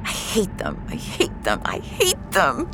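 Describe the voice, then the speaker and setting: A woman's voice: mid-range voice, wildly swooping pitch, a little slow, music in the background, pitched above the performer's own voice. A woman voicing a frightened, desperate young girl in an audio drama nightmare, anguished and pleading. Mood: anguished hatred.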